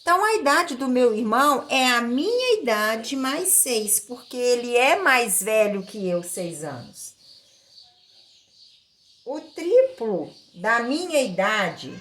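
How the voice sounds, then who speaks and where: A woman's voice: on the high side, big pitch swings, takes it slowly, a bit bright. A woman with a Brazilian accent explaining a lesson step by step as a maths teacher, in a didactic way with repeated phrases. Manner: didactic, repeats phrases and checks in with short tag questions.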